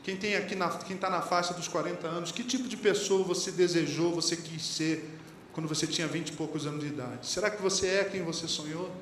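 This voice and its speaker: A man's voice: on the high side, fairly even intonation, a little fast, a bit bright, a little hiss or hum. A man with a Brazilian Portuguese accent, a pastor preaching a sermon in a conversational way and putting questions to the congregation. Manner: conversational preaching, quotes lyrics and sayings, asks the congregation questions. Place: church hall. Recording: echoey church hall, faint ambience.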